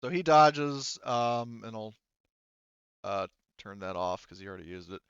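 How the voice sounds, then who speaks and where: A man's voice: on the low side, lively intonation, a little slow, fairly bright tone, smooth, no echo, lo-fi sound. A man acting as game master, narrating the action and working through rules in an informal, exclamatory way. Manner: informal, exclamatory, swears freely. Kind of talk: casual tabletop game narration and rules adjudication.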